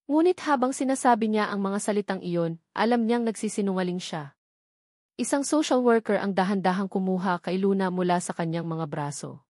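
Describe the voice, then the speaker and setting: A woman's voice: mid-range voice, a little mellow, dry recording. Filipino woman narrating in a dry, close sound. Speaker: story narrator. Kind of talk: dramatic scripted narration of a romance story.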